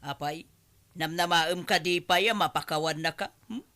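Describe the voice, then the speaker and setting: A woman's voice: on the low side, moderate pace, sharp tone. A woman playing a stern middle-aged mother scolding her grown son in a radio drama. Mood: disappointed, stern.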